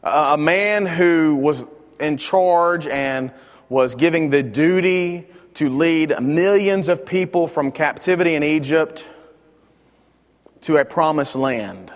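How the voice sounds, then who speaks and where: A man teaches a Bible lesson in a church classroom, speaking loudly and emphatically into a microphone and often restarting his words. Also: on the high side, slow pace, long reverb tail.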